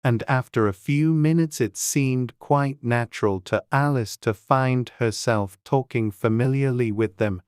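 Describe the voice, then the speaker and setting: A man's voice: mid-range voice, measured pace, few pauses, smooth, dry recording. Man narrating a storybook, dry close mic.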